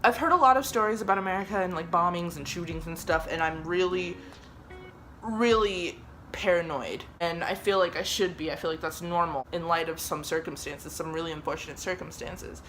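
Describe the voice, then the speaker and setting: A woman's voice: lowish voice, a little fast, a little hiss or hum. A young woman from Germany telling a story in a casual, chatty way, swearing often. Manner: chatty, swears often, lively re-enacted quotes.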